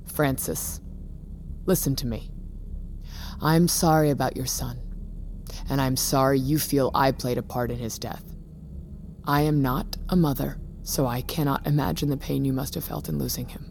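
An actress playing a proud, defiant company boss in an audio drama, imperious and unyielding. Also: female voice, a bass voice, steady tone, normal speed, vocal fry, darkish tone, slight background noise. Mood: solemn defiance.

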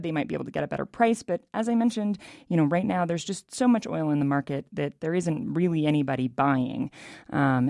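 A woman's voice: sits low, creaky, darkish tone, no room sound, studio quality. A woman with an American accent speaking as a radio reporter, explaining the news off the cuff in an interview.

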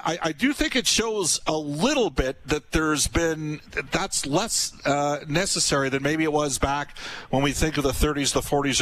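A male radio co-host with a deeper voice, chatting easily with the guest about broadcasting. He speaks at an ordinary mid-level pitch. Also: fairly bright tone, low-quality recording. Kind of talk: casual interview.

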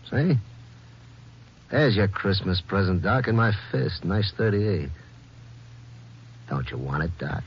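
A man's voice: sits low, expressive, slow, long pauses, husky, heavily acted. An actor playing a menacing, taunting gunman with terse, sardonic delivery in a 1950s radio drama. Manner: terse, sardonic drawling threats. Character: menacing, taunting gunman posing as an injured patient. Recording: muffled, noisy old radio broadcast.

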